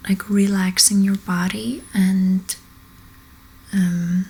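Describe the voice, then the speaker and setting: Soft whispery asmr lady, super breathy, takes her time, gentle and a bit hesitant. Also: mid-range voice, level tone, slow pace. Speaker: ASMR host, energy healer. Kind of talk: whispered ASMR, relaxing guided talk.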